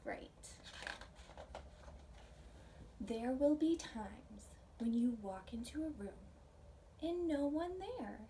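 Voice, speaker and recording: A woman reading a picture book aloud to children and chatting about it in a gentle, expressive, questioning way. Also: sits high, very slow, pauses often. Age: adult. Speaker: children's storybook reader, teacher. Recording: distant mic with faint background noise.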